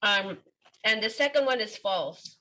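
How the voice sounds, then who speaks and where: A woman taking part in a casual group video call, speaking haltingly with lots of fillers. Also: medium pitch, expressive, pushed and bright, low-quality recording. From Japan. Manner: hesitant, many fillers. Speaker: participant.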